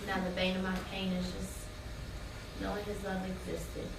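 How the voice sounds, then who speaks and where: A woman's voice: mid-range voice, completely flat delivery, normal speed, vocal fry, close to an everyday voice. An actress playing a protective, grieving young mother in a stage play, speaking frankly and colloquially. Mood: sorrowful. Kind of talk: theatrical stage acting, colloquial dialogue. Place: indoor stage, live play. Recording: very noisy, echoey hall from far off.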